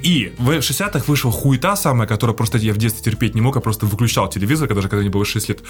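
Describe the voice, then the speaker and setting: A man's voice: mid-range voice, racing, soft background music. A man in his thirties co-hosting a casual podcast chat, opinionated and blunt, often restarting his words. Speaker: podcast co-host.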